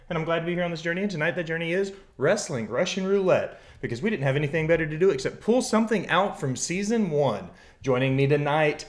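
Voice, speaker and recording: Playful, hypey man podcast host. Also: a little high. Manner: playful, hypey announcer-style intros. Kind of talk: casual podcast hosting.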